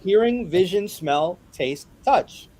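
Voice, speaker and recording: A male host with a fairly high, very lively voice runs a playful question segment. He sounds upbeat and teasing. Faint noise sits behind him. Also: slow pace. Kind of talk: live-stream interview.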